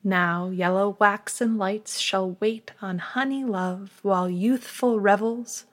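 A woman podcast host reading scripted material aloud in a measured, deliberate manner. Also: medium pitch, steady tone. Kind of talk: scripted announcements and poetry recitation.

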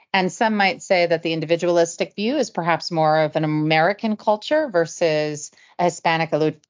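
A middle-aged woman hosting a podcast interview, asking thoughtful questions in a measured way. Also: fairly low pitch. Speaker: podcast host. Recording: muffled lo-fi audio.

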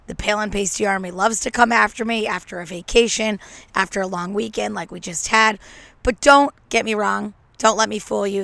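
Slightly hoarse, brash woman podcaster. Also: mid-range voice, bright, forceful tone, no room sound. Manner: brash, joking, tongue-in-cheek rambling. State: a little hoarse. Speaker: podcast host. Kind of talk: casual solo podcast monologue. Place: at home on a couch. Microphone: podcast microphone.

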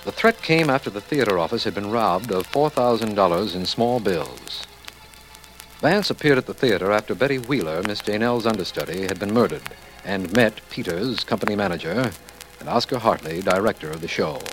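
An actor playing an earnest district attorney who narrates a detective mystery in formal, old radio drama style. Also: male voice, lowish voice, very animated, normal speed, poor audio. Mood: measured narration. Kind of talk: 1940s-50s radio drama acting.

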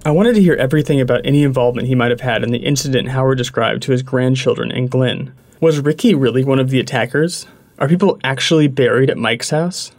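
A man giving scripted narration in a measured, even reading. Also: medium pitch, no room sound. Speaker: podcast narrator.

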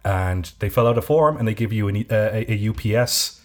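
Male podcaster, low register, chatty and long-winded, lots of false starts. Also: lively intonation, fairly quick. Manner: rambling storyteller with frequent restarts. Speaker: podcast co-host. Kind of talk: casual chat.

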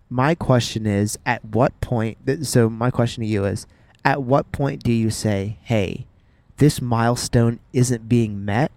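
A young man co-hosting a podcast, chatting in a playful, animated way with frequent "um" restarts. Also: lowish voice, no echo. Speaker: podcast co-host. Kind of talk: casual chat.

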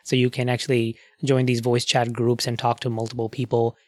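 A man's voice: medium pitch, completely flat delivery, fairly quick, a little mellow, no echo, studio quality. A man hosting a podcast, chatting casually and explaining things with asides and fillers. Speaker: podcast host.

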